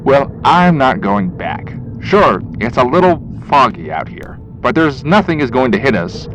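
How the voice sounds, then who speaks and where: Man acting a gruff, stubborn older fisherman, pitch swinging. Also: very animated, measured pace, background noise. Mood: stubborn, dismissive. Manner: folksy, blunt, challenging. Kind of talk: 1920s radio horror storytelling.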